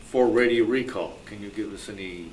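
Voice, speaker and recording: A man's voice: on the low side, normal speed, a little hiss or hum, low-quality recording. A man informally moderating a meeting and inviting questions and responses from the room.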